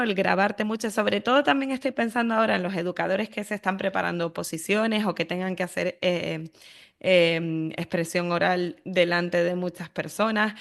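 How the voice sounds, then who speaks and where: Creaky-voiced Spanish woman hosting a podcast. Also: mid-range voice, a bit bright. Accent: Spanish from Spain. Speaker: podcast host. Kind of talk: casual conversational interview.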